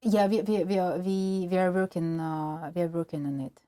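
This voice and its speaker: Ukrainian woman guest, lowish voice, halting interview answers full of restarts. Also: fairly even intonation, warm tone, dry recording, clean studio sound. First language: Russian. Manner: halting, many fillers and restarts. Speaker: film producer and guest.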